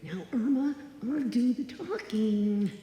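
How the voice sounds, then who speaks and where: A woman's voice: on the high side, expressive, slow, dark tone. An actress playing a sensible, take-charge young working woman with emphatic theatrical delivery in a live radio comedy. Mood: resolute. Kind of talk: live old-time radio comedy acting. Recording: very echoey hall, noisy live stage recording.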